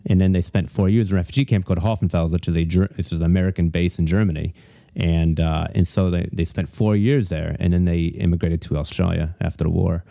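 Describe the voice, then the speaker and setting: Deep-voiced man telling rambling stories. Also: steady tone, fast talker, creaky, warm tone, no echo, clean studio sound. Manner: rambling storyteller, many restarts. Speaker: stand-up comedian guest. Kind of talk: casual podcast chat.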